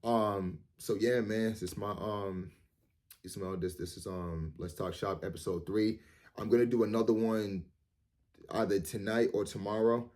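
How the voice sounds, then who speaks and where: A man in his thirties with a low, deep voice talks straight to his viewers. He rambles and restarts, repeats words, and fills his pauses with "um" and "you know". His tone stays earnest and motivational. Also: fairly even intonation, a little mellow. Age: 30s. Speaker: vlogger. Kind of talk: casual monologue to camera.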